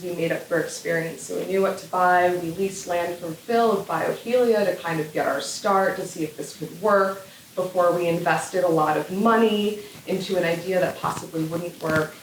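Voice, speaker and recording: A woman's voice: on the low side, slight background noise. A woman farmer on a discussion panel, talking casually and upbeat with plenty of fillers. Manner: chatty, upbeat, many fillers. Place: event room. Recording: roomy, distant mic.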